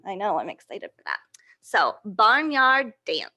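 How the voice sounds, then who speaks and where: A woman hosting a storytime for young children, reading and leading action rhymes in a playful, encouraging, animated way. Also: mid-range voice, a little slow. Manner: playful, encouraging, checks in with listeners.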